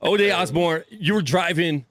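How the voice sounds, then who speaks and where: Laid-back male host, light laughs, slightly higher voice, dry close mic. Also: normal speed, a bit bright, no echo. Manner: easygoing, laughs often. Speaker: show host. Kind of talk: casual sports show interview.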